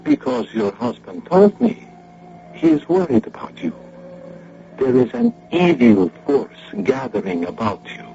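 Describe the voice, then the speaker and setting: Solemn hypnotic guru, radio drama, very gravelly, sweeping swoops of pitch, grandiose. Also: male voice, huge pitch swings, warm tone, full character voice. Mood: ominous. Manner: grandiose, slow, sermonizing pronouncements. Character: solemn foreign mystic doctor, a hypnotic spiritualist master. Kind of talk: old-time radio drama acting. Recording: muffled, noisy radio drama recording.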